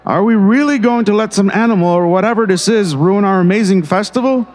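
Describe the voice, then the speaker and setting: A man's voice: high voice, brisk, darkish tone, lightly acted. A man playing a pompous, condescending small-town mayor in an audio drama. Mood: dismissive, blustering. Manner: smooth-talking, condescending. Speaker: voice actor.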